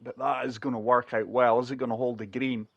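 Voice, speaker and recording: A man talks viewers through each shot of a golf game in a casual, chatty way. He sounds short of breath from a chest infection, his middle-pitched voice close and dry on the mic. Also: little pitch movement, a little fast, creaky, fairly bright tone, no room sound, highs cut off. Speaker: gaming channel host.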